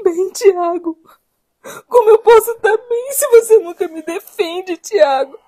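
Casting: actress as crying Brazilian wife, extremely high voice, plaintive, reproachful, domestic drama. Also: female voice, normal speed. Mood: tearful, reproachful. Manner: plaintive, reproachful, repeating herself. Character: tearful, long-suffering wife pleading with her passive husband.

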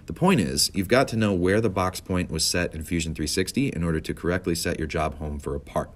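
A man with an American accent reading scripted tutorial narration as an instructor, explaining clearly step by step. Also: low voice, fairly quick.

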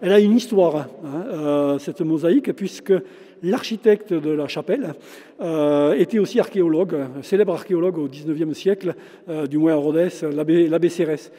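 An older man in his sixties with a very mellow, dark voice sits a little high in pitch. He answers questions haltingly, full of fillers and restarts, through a face mask. The sound rings with the long reverb of a large stone chapel. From France. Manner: hesitant, many fillers and restarts. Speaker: shop owner and local historian. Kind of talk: casual interview. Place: large former chapel, indoors. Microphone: handheld interviewer mic, face mask on.

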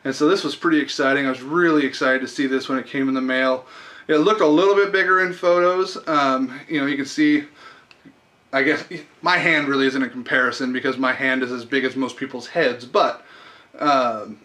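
A man's voice: medium pitch, steady tone, a little fast, pushed and bright. A man in his thirties hosting a woodworking channel, giving a casual, rambling update to the camera from a small indoor workshop. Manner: chatty and rambling, many ums and uhs. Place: small indoor workshop under fluorescent lights. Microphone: camera mic close in front.

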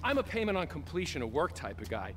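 An actor playing a smug, theatrical rich boss in a video game cutscene, mocking, flamboyant and foul-mouthed. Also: male voice, on the high side, sing-song, fast, fairly bright tone, a little hiss or hum.

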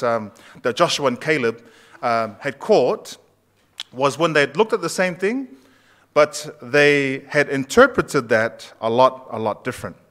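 A man's voice: mid-range voice, a bit bright. A man in his thirties preaching a conversational sermon in a church sanctuary, asking the congregation questions as he goes. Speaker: pastor. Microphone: far camera at back of church.

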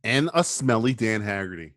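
A man's voice: fairly low pitch, expressive, moderate pace, fairly bright tone, dry recording, clean studio sound. A man co-hosting a casual movie commentary podcast, joking and mocking the film. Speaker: podcast co-host.

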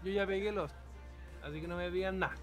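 Male Chilean, high voice, profane complaining in casual group chat. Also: steady tone, fast talker, over music.

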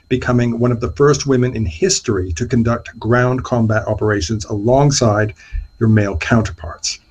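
Husky-voiced male interview host. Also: mid-range voice, low-quality recording. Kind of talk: interview questions. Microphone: headset on a video call.

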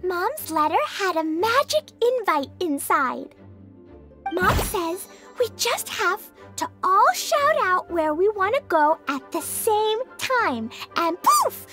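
A woman's voice: extremely high pitch, big pitch swings, slow, bright, forceful tone, faint music behind, full character voice. A woman voicing an eager, innocent little girl with childlike speech in an anime-style fantasy game. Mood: earnest, explaining. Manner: childlike, refers to herself by name.

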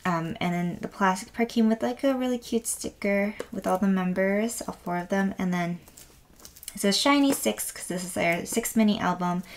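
Woman vlogger, raspy voice, gravelly fry, slow soft rambling, faint background hiss. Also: mid-range voice. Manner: soft, rambling, gushing with many fillers. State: raspy voice today. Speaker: album unboxing vlogger. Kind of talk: casual unboxing chat. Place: indoors at a wooden table.

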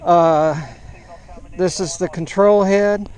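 A man narrating an outdoor setup vlog as an amateur radio operator, in a halting, matter-of-fact way. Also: high-pitched, steady tone, darkish tone, poor audio. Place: large open grassy park field, outdoors.

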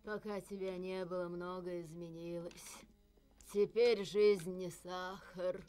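Slow, world-weary cartoon dog. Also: female voice, fairly low pitch, flat delivery, dark tone, faint music behind. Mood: weary, resigned. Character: world-weary female street dog, resigned but hanging on. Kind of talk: animated film dub.

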